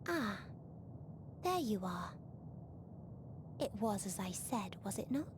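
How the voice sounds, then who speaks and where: A woman playing a serene, nihilistic being of despair in a fantasy game, detached, formal and softly ominous. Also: mid-range voice, very animated, takes it slowly, noisy background. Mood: coolly knowing. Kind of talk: dramatic fantasy game villain acting. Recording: loud game music behind.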